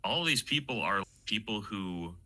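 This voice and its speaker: A young man with a deep yet strikingly bright, forceful voice chats in a casual interview. He is informal and self-deprecating, halting a little as he goes. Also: expressive. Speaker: fan audio project creator, interview guest.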